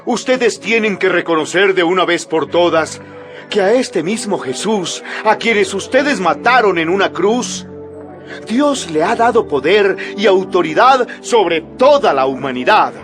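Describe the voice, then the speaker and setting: Bold apostle, audio Bible drama. Higher-set, very animated oratory, emphatic public preaching, music underneath. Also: male voice, measured pace. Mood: emphatic, urgent. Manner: declamatory, emphatic public address. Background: background music.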